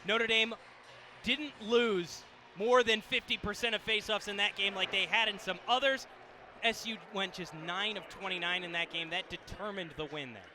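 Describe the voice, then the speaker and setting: A man's voice: high voice, normal speed. An American man giving live sports analysis on the radio in an emphatic, explanatory way. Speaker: radio color commentator. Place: indoor stadium broadcast position. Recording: live radio with steady venue noise.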